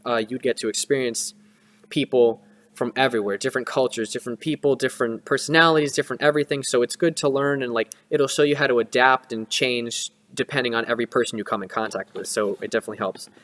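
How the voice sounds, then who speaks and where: A man's voice: medium pitch, fairly even intonation, fast talker, fairly bright tone, slight background noise. Young man chatting in a reverberant room. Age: young adult. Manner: rambling, conversational, self-interrupting. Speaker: student vlogger. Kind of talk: casual first-person advice and recounting. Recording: reverberant room with long echo.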